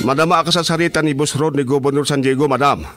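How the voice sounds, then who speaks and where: A man with an Ilocano accent playing a brisk, deferential aide to a boss in a radio drama. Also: a little high, steady tone, over music, close to an everyday voice.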